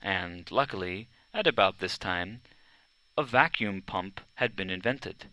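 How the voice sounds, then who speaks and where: A man narrating a children's biography from a script, in measured, deliberate storytelling phrases. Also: low-pitched, animated, bright, forceful tone, dry recording, low-quality recording. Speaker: audiobook narrator.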